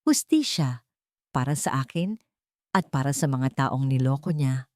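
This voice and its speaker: A woman's voice: extremely low pitch, expressive, unhurried, darkish tone, dry recording, voice pushed down. A wronged young Filipino wife turned soldier, played by a woman narrator, speaks with resolve. Her manner is respectful but determined, in a dramatic story with a very dry sound. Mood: firm, resolute.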